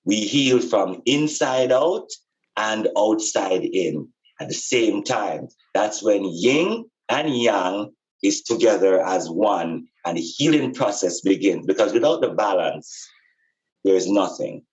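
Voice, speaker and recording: A man giving an informal, explanatory spiritual talk in a deliberate, emphatic way, repeating phrases for stress. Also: fairly low pitch, expressive, dull audio. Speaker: podcast speaker and spiritual teacher.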